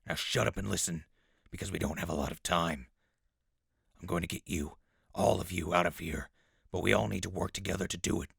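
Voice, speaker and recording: An actor playing a gruff, curt undercover infiltrator among raiders in a post-apocalyptic audio drama, with a rough voice. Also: male voice, low-pitched, steady tone, fairly quick, fairly bright tone, dry recording, studio quality, heavily acted. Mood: stern, scornful. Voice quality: gravelly, rough. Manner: curt, bossy.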